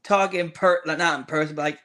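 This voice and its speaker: A man's voice: a little high, fairly even intonation, fairly quick, pushed and bright. A man guest on a podcast talking casually, hesitant and stumbling as he starts his sentences over. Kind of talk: casual chat.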